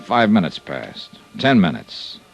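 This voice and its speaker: A man's voice: low-pitched, sing-song, takes it slowly, vocal fry, lo-fi sound, soft background music, full character voice. An American man playing a tough, commanding investigator in an old-time radio drama, with clipped, hard-boiled delivery. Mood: terse narration. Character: tough, commanding insurance investigator, brisk and determined. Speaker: lead actor and narrator of a detective radio serial.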